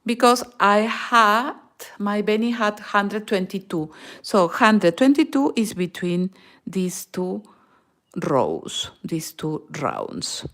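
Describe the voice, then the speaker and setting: Peruvian woman, native Spanish, for a knitting tutorial; very slow, patient delivery, counts stitches out loud. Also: medium pitch, very animated. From Peru. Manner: mixes in Spanish words. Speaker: knitting teacher.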